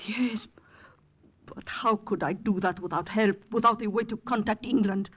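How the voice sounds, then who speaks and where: An actress playing a proud, resourceful older French resistance madame with a French accent, in 1940s radio style. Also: female voice, medium pitch, normal speed, fairly bright tone, natural voice. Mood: assured. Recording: noisy vintage 1940s radio recording.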